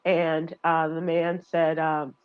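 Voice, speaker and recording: A woman's voice: deep voice, level tone, slow, clear, resonant tone, dull audio. A woman radio host telling travel stories in casual chat, laughing and acting out voices as she goes. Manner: chatty, laughing, acts out voices in her stories.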